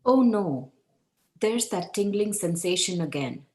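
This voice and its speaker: A woman's voice: medium pitch, animated, a little slow, a little mellow, close to an everyday voice. A woman reading the part of an anxious but determined teenage girl athlete in a play script. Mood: worried. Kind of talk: table read of a play script.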